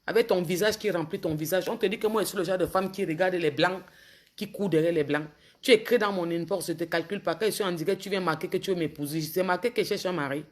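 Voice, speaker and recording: A woman with a cold, a bit hoarse, talking casually to viewers on a phone livestream and scolding commenters with indignation. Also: on the low side, quick pace. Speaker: social media livestreamer.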